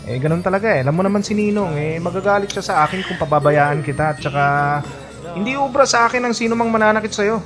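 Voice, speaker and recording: A man's voice: high voice, expressive, fast talker. A man playing a protective, teasing college-age best friend in a Filipino radio drama, breezy and playful. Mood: earnest, protective. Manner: breezy, playful, laughs often. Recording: music bed under dialogue.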